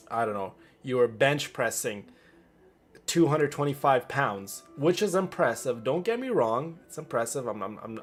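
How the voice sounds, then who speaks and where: A man streaming casually, talking in a boastful, rambling and repetitive way to his audience. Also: mid-range voice. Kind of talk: casual livestream chat.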